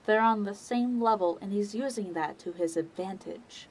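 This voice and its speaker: A woman giving dramatic scripted narration for an audio drama, in a measured and solemn manner. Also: mid-range voice, very noisy.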